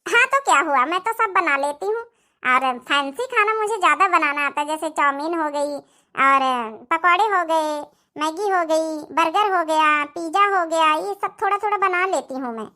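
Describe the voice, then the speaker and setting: A woman's voice: extremely high pitch, animated, normal speed, sharp tone, exaggerated voice. A woman voicing a boastful young college-going daughter who talks in an eager, showy way in a cartoon comedy.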